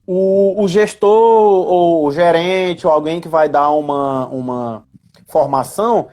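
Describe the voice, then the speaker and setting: A Brazilian man talks in a fairly high voice for a man. He explains in an informal, deliberate way on a live stream, breaking his thoughts into short phrases with pauses. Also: steady tone, warm tone. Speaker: live stream discussion participant. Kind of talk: casual explanatory live discussion. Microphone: live video call.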